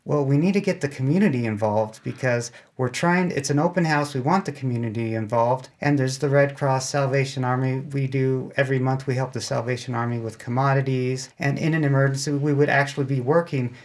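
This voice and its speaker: A man's voice: mid-range voice, fairly quick, hardly any pauses. A man speaking as an interview guest, explaining casually in a loose, rambling way that often doubles back mid-sentence. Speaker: podcast guest, amateur radio club spokesman.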